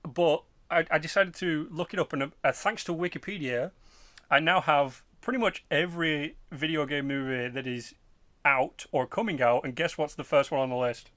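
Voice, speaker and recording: A man in his twenties hosting a casual podcast chat about films and games, telling things in an animated, informal way with mild swearing. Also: on the high side, moderate pace, no echo. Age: 20s. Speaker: podcast host.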